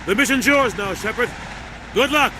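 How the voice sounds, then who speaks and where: A man's voice: very high-pitched, normal speed. A man acting a stern, authoritative starship captain in a video game, giving clipped orders over vehicle noise. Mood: firm, urgent. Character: stern commanding older military starship captain.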